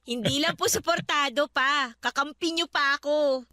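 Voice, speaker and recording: An actress playing a devoted young adult Filipino daughter, gentle, respectful and reassuring, in a radio drama. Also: female voice, sits high, moderate pace. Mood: loving, reassuring. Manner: caring, respectful. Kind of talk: Tagalog radio drama acting.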